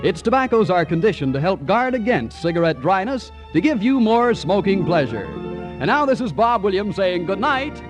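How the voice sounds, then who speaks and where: A man's voice: high-pitched, very animated, normal speed. A male announcer delivering scripted 1940s radio credits and advertising. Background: brass music.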